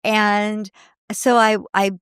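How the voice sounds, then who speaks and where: Woman co-host, chatty and sympathetic, smooth voice, dry sound. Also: medium pitch, little pitch movement, drawn-out words, no room sound. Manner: chatty, laughs often, sympathetic. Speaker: podcast co-host. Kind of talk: casual chat.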